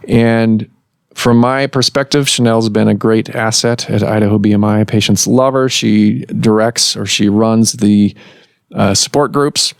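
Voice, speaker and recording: A man hosting a medical podcast as a doctor, explaining in a measured way with some fillers, recorded in a clinic office. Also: fairly low pitch, steady tone, creaky, clean tone, dry recording, studio quality.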